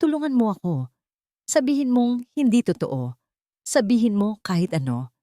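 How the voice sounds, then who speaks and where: A woman narrator plays a heartbroken young heroine in a romantic audio drama. She pleads desperately, her voice lifted high, in a dry recording. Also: expressive, normal speed, warm tone, no echo, close to an everyday voice. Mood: desperate pleading.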